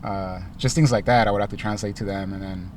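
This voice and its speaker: A young man from Los Angeles, a rapper, answering interview questions in a car in a relaxed way, with lots of ums and likes. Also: fairly low pitch, quick pace, distant mic, slight background noise. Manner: laid-back, many ums and likes. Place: inside a parked car.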